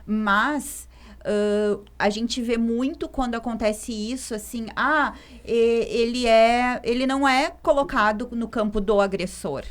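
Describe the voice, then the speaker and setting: A woman's voice: a little high, unhurried. Woman psychologist, discursive. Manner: thoughtful, many fillers like "né" and "assim". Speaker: psychologist guest. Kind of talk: podcast discussion.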